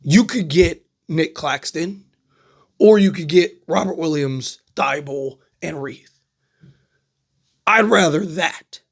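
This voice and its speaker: A man's voice: a little high, slow, long pauses. A man who is losing his voice to a cold, hosting a casual solo basketball talk show and often tagging his points with "right?". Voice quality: frequent "right?" tags and filler words. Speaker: basketball podcast host. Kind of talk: casual solo sports commentary.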